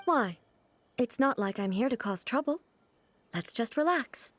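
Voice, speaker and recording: A woman voicing an aloof goddess ruler in a game cutscene, speaking in a calm, measured, formal way. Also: on the high side, background noise. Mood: curious. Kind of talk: video game cutscene voice acting. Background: faint game music.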